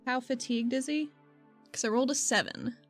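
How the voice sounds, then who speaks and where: A woman with an American accent bantering casually as a game player, informal and quick to react. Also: high voice, soft background music. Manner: informal, reactive, swears freely. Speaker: player on a tabletop game podcast.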